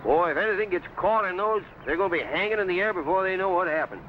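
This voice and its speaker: An actor playing a carefree, stubborn American frontier trapper with folksy backwoods speech, in a vintage adventure serial. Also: male voice, high-pitched, quick pace, close to an everyday voice. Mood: scoffing. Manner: folksy backwoods drawl and dialect. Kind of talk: 1950s adventure serial acting. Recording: muffled, noisy old broadcast soundtrack.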